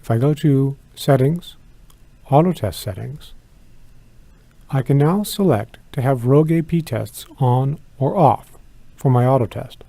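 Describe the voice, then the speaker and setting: Creaky-voiced man giving a product walkthrough. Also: medium pitch, lively intonation, measured pace, dark tone, no room sound. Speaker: product demonstrator. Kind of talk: scripted product tutorial narration.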